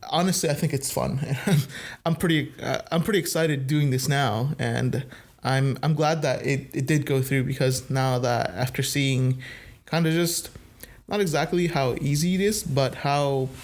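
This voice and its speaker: A man's voice: medium pitch, moderate pace. A man from El Salvador who grew up partly in the US, speaking as a guest in a casual podcast conversation. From El Salvador, moved to the US at age eight. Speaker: podcast guest, youth minister.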